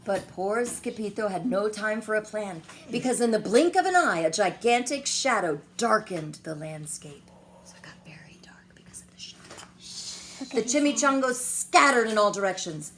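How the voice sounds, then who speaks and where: A woman reading a children's picture book aloud in an expressive, dramatic storytelling manner. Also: medium pitch, slight background noise. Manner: expressive, dramatic pauses and whispers.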